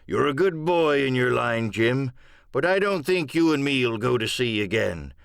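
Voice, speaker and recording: A man voicing a gruff, blunt sea captain in a dramatised adventure storybook reading. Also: medium pitch, lively intonation, measured pace, no room sound, studio quality. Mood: gruffly frank.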